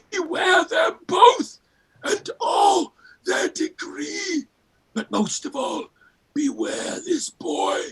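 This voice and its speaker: A woman playing a solemn, ominous Christmas spirit in a radio play, dramatic and wavering between hushed tones and cries. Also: sits high, vocal fry, heavily acted. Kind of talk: theatrical radio play acting.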